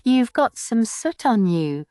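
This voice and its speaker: A woman's voice: fairly high pitch, sing-song, takes it slowly, mellow, dry recording, studio quality, pitched above the performer's own voice. A woman voicing a blunt young female apothecary, guarded and stubborn, speaking in a terse, matter-of-fact way for an audiobook. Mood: quietly earnest.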